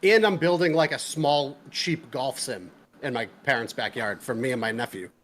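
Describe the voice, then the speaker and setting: A man's voice: medium pitch, normal speed, a bit bright. A man co-hosting a gaming podcast, joking casually with heavy swearing and slang. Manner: joking, heavily profane, slangy. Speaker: podcast co-host. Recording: clear background noise, room ambience.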